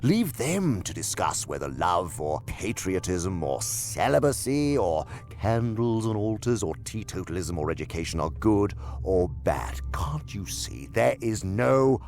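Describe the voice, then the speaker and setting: An actor playing a senior demon in a radio drama, a sly, imperious mentor who speaks pompously and savors each word with theatrical emphasis in a gravelly voice. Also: male voice, sits low, sing-song, unhurried, hardly any pauses, a little mellow, exaggerated voice. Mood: scornful impatience.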